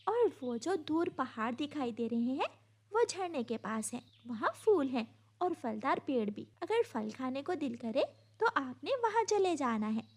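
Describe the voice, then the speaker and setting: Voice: sits high, sing-song, unhurried, a little hiss or hum, heavily acted. A woman playing a caring mother sparrow in a storytelling cartoon, speaking in a motherly, instructive way. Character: caring mother sparrow gently teaching her little chicks. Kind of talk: Hindi storytelling cartoon voice acting.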